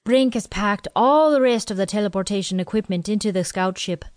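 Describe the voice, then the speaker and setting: A woman's voice: mid-range voice, fairly quick, dry recording, voice pushed up, close to an everyday voice. A woman voicing a fussy, teasing male quartermaster with a wheezy, nasal voice, in a dry recording.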